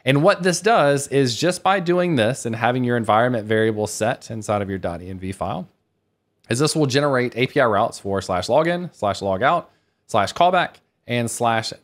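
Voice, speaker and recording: Male conference speaker, mid-pitched, conversational and enthusiastic, explaining technical material. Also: animated, a little fast.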